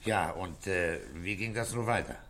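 A man playing a calm police detective in a German radio crime drama, asking terse, matter-of-fact questions. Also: low-pitched, normal speed. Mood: probing, composed.

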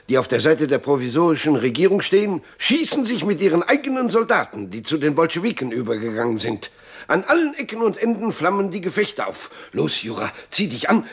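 A man playing an excitable, fervent gentleman thrilled by great events in a 1950s radio play, urgent and exclamatory. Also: fairly high pitch, creaky, slightly rough, heavily acted.

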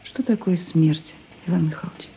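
An actress playing an earnest, dreamy, shyly admiring woman in a radio play, halting and wistful. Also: on the low side, gravelly, warm tone, slightly rough, exaggerated voice, poor audio. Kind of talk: Russian radio play acting.

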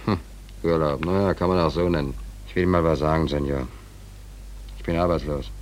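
An actor playing a jobless, stubborn, weary sailor in a 1940s German radio play, speaking in clipped colloquial phrases. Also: male voice, rumbling low, steady tone, a little fast, a little hiss or hum. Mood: weary, resigned. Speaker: ship's stoker.

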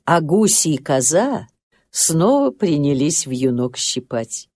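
A woman narrating a children's story in an expressive storybook style, with lilting, unhurried phrasing. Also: low-pitched, dry recording.

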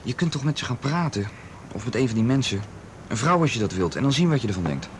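A man's voice: mid-range voice, big pitch swings, quick pace, natural voice. A man playing an earnest, protective young friend in a radio drama, urging and reassuring with insistence. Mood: calm, persuasive. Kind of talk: naturalistic radio drama acting. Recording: noisy old radio drama recording.

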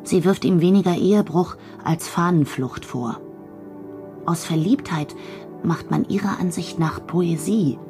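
An actress playing an observant woman of 1910s bohemia, reading her letters in a measured, dryly amused way for a radio drama. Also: lowish voice, mellow, faint music behind. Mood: confiding, concerned.

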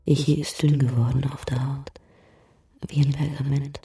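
A woman's voice: a bass voice, level tone, moderate pace, dark tone, soft background music. A woman narrating a sombre, poetic literary radio drama. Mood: sombre, hushed. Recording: roomy, distant from the mic.

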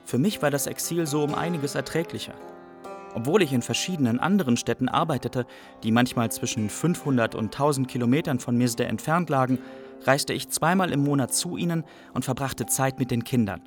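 Man acting young Iraqi refugee, reflective, medium pitch, first-person radio play narration, light music bed. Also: brisk, lightly acted.

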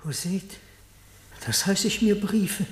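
An animal of the royal court in a classic radio play. The voice exclaims dramatically, highly animated, with a long echoing reverb. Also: female voice, slow pace. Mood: shocked.

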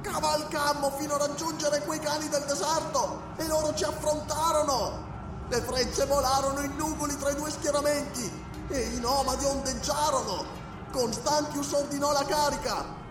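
A man's voice: very high-pitched, steady tone, moderate pace, pitched above the performer's own voice. A man voicing a wounded, panicked male priest crying out through a crystal orb, in a frantic wailing manner. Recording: echoey and distant, loud music behind.

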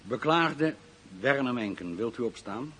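An actor playing a stern court judge in a radio drama, formal, measured and declamatory. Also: male voice, mid-range voice, lively intonation, a bit bright, slight background noise. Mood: stern, official.

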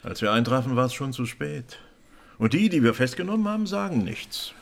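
An actor playing an animated police commissioner who explains a case in colloquial words, in radio crime drama dialogue. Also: male voice, medium pitch, normal speed, vocal fry. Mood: explaining, amused.

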